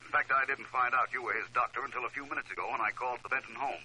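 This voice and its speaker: A man playing an assured, probing gentleman detective in 1940s radio drama style, smooth and insinuating. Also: medium pitch, racing, low-quality recording. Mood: businesslike. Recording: old radio recording, noise behind.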